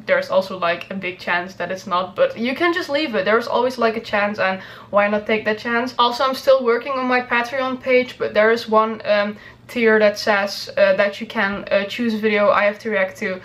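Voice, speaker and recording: Casting: woman reaction vlogger, mid-pitched, informal, rambling and hedging delivery. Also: normal speed, a bit bright. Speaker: YouTube reaction video host. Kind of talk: casual chat to viewers.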